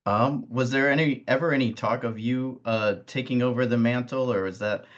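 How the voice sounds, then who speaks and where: A man's voice: medium pitch, normal speed. A man hosting a casual interview with hesitant phrasing, on slightly muffled audio. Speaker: interviewer, host.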